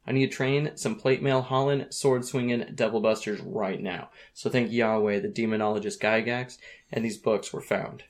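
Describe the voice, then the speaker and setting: A crude, exasperated warrior trainer in an amateur radio comedy, played by a man. His voice sits at an ordinary male pitch, and he talks bluntly, swearing and sounding baffled. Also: natural voice. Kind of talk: hobby comic radio drama dialogue.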